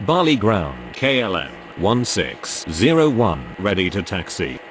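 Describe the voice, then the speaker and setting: Very deep-voiced man as procedural air traffic radio operator. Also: huge pitch swings, measured pace, full character voice. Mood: businesslike. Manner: clipped, formulaic phrasing. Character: procedural male pilot and air traffic controller. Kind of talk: scripted aviation radio calls.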